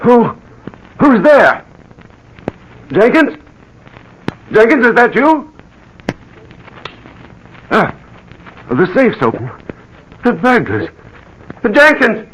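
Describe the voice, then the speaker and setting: An actor playing a frightened, indignant gentleman who calls out in alarm in an old-time radio crime drama. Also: big pitch swings, very slow, pauses often, slight background noise, heavily acted, low-quality recording. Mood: alarmed, shrill. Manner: shrill, alarmed, calling out. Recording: vintage radio drama recording.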